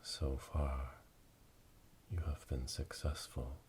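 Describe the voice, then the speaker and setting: A man playing a solemn witch elder leading an initiation rite in a breathy, whispered ASMR roleplay, speaking slowly and ceremonially. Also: flat delivery, a little mellow. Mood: solemn, hushed. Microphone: close binaural microphone. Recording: close binaural, rumbling noise, clicks.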